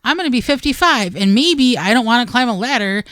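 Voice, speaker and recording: A woman co-hosts a gardening radio show in a chatty, playful way, tossing in asides. Her voice is smooth and clear, and the studio sound is dry. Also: on the high side, animated, brisk, a bit bright, no echo. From Wisconsin. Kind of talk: casual gardening chat.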